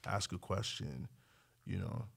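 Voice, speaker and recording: Male podcast guest: doctor from Nigeria, low-pitched, informal and chatty in casual conversation. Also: expressive, measured pace, creaky, husky.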